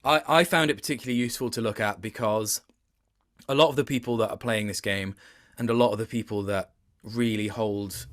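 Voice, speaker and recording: A man's voice: lowish voice, fairly bright tone. A man speaking casually as an interviewed voice actor, thoughtful and hesitant, often circling back to rephrase what he is saying.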